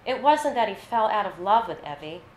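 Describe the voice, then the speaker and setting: A woman reading literary fiction aloud at a live event, with a measured, narrative delivery. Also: medium pitch, big pitch swings, a little mellow. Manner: measured, scripted narration with read-out dialogue. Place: live reading in an echoey venue. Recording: roomy echo, faint background noise.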